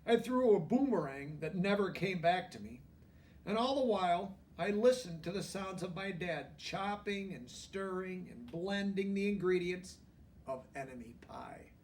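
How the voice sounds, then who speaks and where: A man with a high-pitched voice reads a picture book aloud to children. His narration is expressive and plainly explained. He sounds set back from the microphone. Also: unhurried, distant mic. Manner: explains asides simply to young listeners. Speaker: storybook reader.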